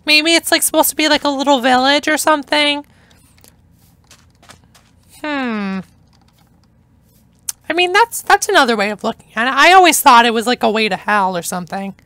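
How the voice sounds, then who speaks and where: Young woman, hoarse, chatty let's-play host; high, bright voice, dry close sound. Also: expressive, normal speed, long pauses, no room sound. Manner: chatty, enthusiastic, rambling. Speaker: gameplay narrator. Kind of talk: casual let's-play commentary.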